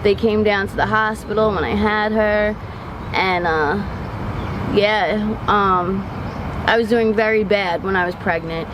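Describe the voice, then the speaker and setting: A woman in her thirties from Long Island speaks openly in an outdoor street interview. She rambles, restarts her thoughts and fills pauses with ums. Traffic noise rolls steadily behind her. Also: medium pitch. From Long Island, New York. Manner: rambling and candid, many ums and restarts, quick laughs. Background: passing traffic.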